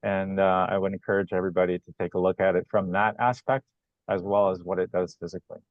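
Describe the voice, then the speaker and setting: A man speaking in a measured, hesitant way with many fillers, joining a video call to talk about business. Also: low-pitched, level tone, no room sound. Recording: dull lo-fi video call audio.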